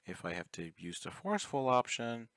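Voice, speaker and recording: A man playing an obsessive, clingy male yandere fan in an ASMR roleplay, speaking intimately and coaxingly, courteous even while threatening. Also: mid-range voice, normal speed, no echo. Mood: politely menacing. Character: obsessive, clingy, soft-spoken young male yandere fan, politely menacing.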